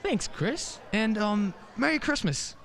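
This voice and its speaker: Friendly guy played by a man, super animated up and down voice, radio drama, big echo. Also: sits high, takes it slowly, exaggerated voice. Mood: cheerful. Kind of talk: radio play acting.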